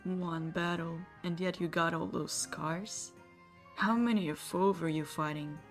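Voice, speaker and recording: An actress playing a composed, probing older elf veteran in a fantasy story. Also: female voice, fairly low pitch, fairly even intonation, a little slow. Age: middle-aged. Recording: music bed under the voice.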